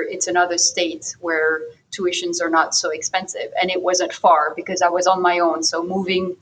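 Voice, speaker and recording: A woman's voice: lowish voice, fairly bright tone, slight background noise, rough recording. A native French-speaking woman from France with a French accent, talking casually as an interview guest.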